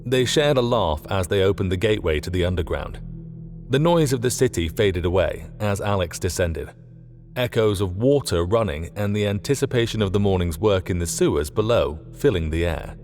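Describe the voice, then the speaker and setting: A man's voice: deep voice, lively intonation, normal speed, a little mellow, faint music behind. A man reading scripted fiction as an audiobook narrator.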